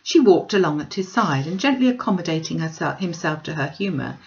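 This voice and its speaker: A woman reading a classic novel aloud as expressive storybook narration. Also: deep voice, fairly quick, vocal fry, highs cut off. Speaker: audiobook reader.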